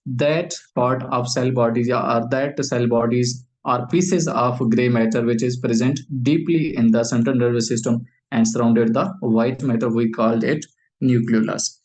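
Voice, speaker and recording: A man's voice: medium pitch, fairly even intonation, unhurried, a little mellow, low-quality recording. Man lecturing with a Pakistani accent. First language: Urdu. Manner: hesitant, frequent uh fillers, repeats phrases; asks questions then answers them. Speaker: medical lecturer. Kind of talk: medical lecture.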